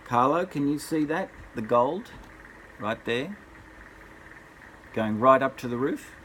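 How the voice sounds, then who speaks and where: Older grandpa guy reading bedtime story to kids, animated intonation, bit of background noise. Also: mid-range voice, slow pace, rough recording. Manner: expressive, addressing small children. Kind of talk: bedtime story read aloud.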